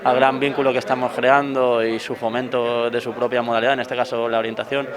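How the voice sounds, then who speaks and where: A man with an accent from Spain giving an unscripted press interview as a local official, in halting phrases. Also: mid-range voice, level tone, crowd noise. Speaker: town council representative.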